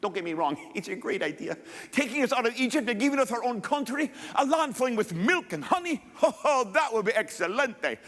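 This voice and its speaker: A high, nervous Moses with a Mexican accent and a speech impediment, as a man puts him on. He stammers and rushes his words. It sits in a hall with a long echo. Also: sing-song, fairly bright tone, heavily acted. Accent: Mexican Spanish.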